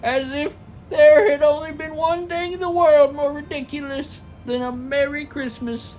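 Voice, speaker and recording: High-voiced woman reading a story slowly. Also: fairly even intonation, a little mellow, faint music behind. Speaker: story reader. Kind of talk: theatrical storybook narration.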